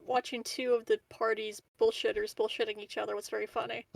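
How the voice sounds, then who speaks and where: A woman's voice: fairly high pitch, little pitch movement, a little fast, creaky, dry recording, low-quality recording. A woman chatting informally as a player in a tabletop game. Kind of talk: casual chat.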